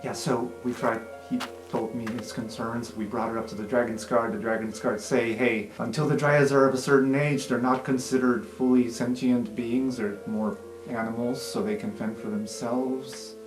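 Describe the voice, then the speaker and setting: A man's voice: medium pitch, steady tone, normal speed, few pauses, lightly acted. A man playing a breezy, sardonic, teasing student. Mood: matter-of-fact. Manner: casual, teasing, wry. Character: breezy, sardonic, gossipy student named Aurora. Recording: roomy sound, music underneath.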